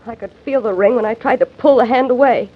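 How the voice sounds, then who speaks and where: An earnest, defiant young heroine in an old radio mystery. Her voice is high, and the recording has the dull, muffled sound of a vintage broadcast. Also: fast, husky. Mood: insistent. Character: spirited young woman, wounded heroine. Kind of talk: 1940s radio acting.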